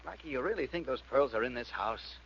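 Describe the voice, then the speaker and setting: An actor playing an anxious, earnest doctor in a 1940s radio drama, mild and concerned in manner. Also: male voice, mid-range voice, lively intonation, quick pace, a bit bright, lightly acted. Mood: worried. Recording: muffled, hissy vintage radio recording.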